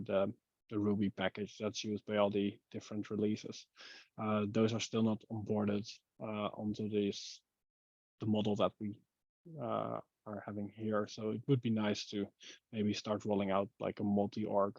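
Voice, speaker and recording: A man with a lowish voice speaks casually in a technical online meeting. His phrasing is hesitant and halting. The sound is dry and a little dull, like compressed call audio. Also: darkish tone, no echo. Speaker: working group member giving a technical update.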